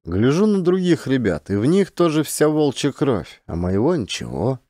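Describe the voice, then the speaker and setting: A man voicing a simple, jealous peasant husband confessing calmly in plain, folksy rural speech for an audiobook. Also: medium pitch, moderate pace, no room sound, clean studio sound, voice pushed up, lightly acted. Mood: pained confession. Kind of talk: audiobook character acting.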